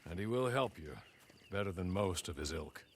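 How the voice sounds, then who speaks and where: An actor playing a deferential, loyal manservant in a Western video game, formal and courteous in his measured speech. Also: low-pitched, animated, a little hiss or hum. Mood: courteous, dry. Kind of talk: video game cutscene acting.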